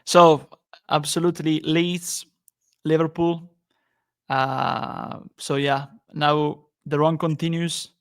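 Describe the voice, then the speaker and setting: Flat-toned man hosting a podcast. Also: on the high side, smooth. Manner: halting, many restarts, upbeat. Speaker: podcast host. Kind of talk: casual football podcast chat.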